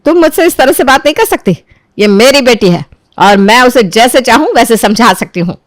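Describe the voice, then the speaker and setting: A woman acts out a domineering middle-aged mother-in-law from a cartoon tale. She nags and accuses in a sharp, theatrical way, pushing her voice up, with a close, dry sound. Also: a little high, animated, moderate pace, dry recording, close to an everyday voice. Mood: furious. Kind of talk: cartoon moral-story voice acting.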